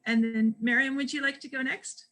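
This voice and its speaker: Halting, bright, high-voiced woman. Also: fairly even intonation. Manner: halting, many fillers. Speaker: forum host. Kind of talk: hesitant introduction.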